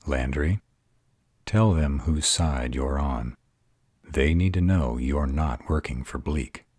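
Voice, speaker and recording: A man playing an arrogant, calculating vampire in an audio roleplay, measured and formal. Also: very deep voice, a little mellow, husky, dry recording, clean studio sound, exaggerated voice. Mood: earnest. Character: arrogant male vampire, composed, calculating strategist.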